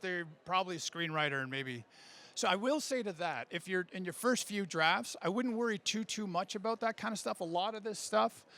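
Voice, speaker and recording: A man giving a writing workshop, answering audience questions in an animated, informal way that often stops and restarts. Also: high voice, vocal fry. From Canada. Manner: animated, informal, halting, self-interrupting. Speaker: speaker giving a writing workshop, audio fiction creator. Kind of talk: conference talk question and answer.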